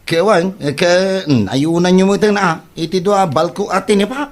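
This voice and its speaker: A man's voice: sits high, close to an everyday voice. A man with an Ilocano accent playing a boastful, broke braggart in a comedy audio drama, stammering through his excuses. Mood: sheepish, stalling. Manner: halting, hesitant, full of drawn-out stammers.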